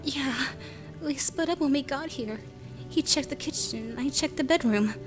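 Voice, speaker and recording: Woman acting a high-voiced, timid witness. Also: measured pace. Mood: shaken. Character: timid, shaken female witness. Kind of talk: amateur radio play. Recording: music and rain under the voice.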